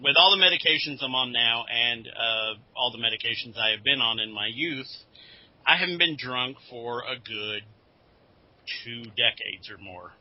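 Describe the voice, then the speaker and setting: A man's voice: medium pitch, normal speed. A man on a podcast panel, chatting casually and telling anecdotes. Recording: slightly dull lo-fi audio.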